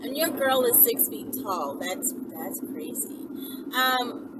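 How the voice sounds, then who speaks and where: Loud road and car noise surrounds a woman in her thirties, recorded a little away from the mic. Her voice is high and swings widely in pitch as she chats casually to her viewers. She is emphatic, often repeating words to press her point. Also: lively intonation, slow pace. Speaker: vlogger, channel host. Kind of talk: casual chat to camera. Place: inside a moving car with windows down. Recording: very noisy car ride, distant mic.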